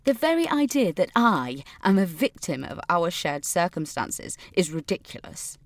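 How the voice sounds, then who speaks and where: Casting: privileged, defensive woman for podcast drama, slightly low voice, formal precise diction, pompous. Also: big pitch swings, moderate pace, no room sound. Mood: indignant, haughty. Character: haughty, defensive upper-class adult alumna named Katrina. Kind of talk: dramatic monologue in a fiction podcast.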